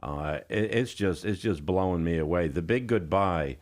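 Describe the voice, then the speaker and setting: Deep-voiced man hosting radio. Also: lively intonation, moderate pace. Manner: emphatic, addresses listeners as folks. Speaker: radio host. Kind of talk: enthusiastic interview chat. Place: radio studio.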